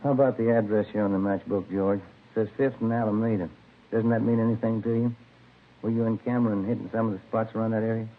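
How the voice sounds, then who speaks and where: An actor playing a plainspoken, easygoing American police detective in a 1950s radio crime drama, casual and methodical. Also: male voice, lowish voice, flat delivery, fast talker, close to an everyday voice. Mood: plain, inquiring. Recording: thin, phone-like vintage radio recording.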